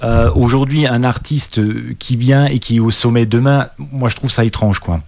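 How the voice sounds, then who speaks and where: A man's voice: medium pitch, steady tone, normal speed, darkish tone. A middle-aged man from Alsace with an Alsatian accent, a guest singer answering questions in a radio interview, hesitant and full of restarts.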